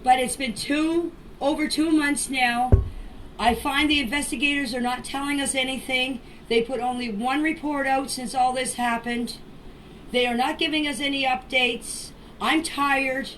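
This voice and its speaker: A mature woman giving an informal spoken statement in a deliberate, halting way, in short phrases, as a voice message. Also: high-pitched, steady tone, fairly bright tone. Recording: distant noisy amateur voice clips.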